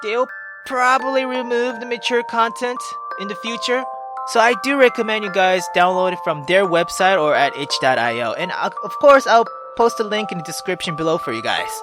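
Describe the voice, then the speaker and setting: A man's voice: high voice, expressive, normal speed, music in the background. A man hosting a casual gameplay video, rambling with lots of fillers and casual swearing. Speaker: gaming channel host.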